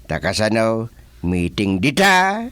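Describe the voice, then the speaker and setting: A man's voice: on the low side, big pitch swings, slow, fairly bright tone, smooth, no room sound, full character voice. A man playing a folksy elderly village husband in a radio drama comedy, with an Ilocano accent. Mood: folksy, explaining.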